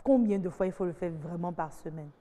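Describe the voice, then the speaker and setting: A woman with a Beninese French accent hosting a TV talk show, moving things along briskly with short affirmations. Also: on the low side, dark tone, long reverb tail.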